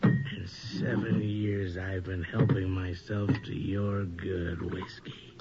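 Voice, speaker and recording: Bitter drunk servant in a radio horror show, real slow, dull muffled recording. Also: male voice, sits low, level tone, over loud music. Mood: bitter, gloating. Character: bitter drunken manservant, resentful, then terror-stricken by a ghost. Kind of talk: radio horror drama acting. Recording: muffled radio drama recording, faint noise.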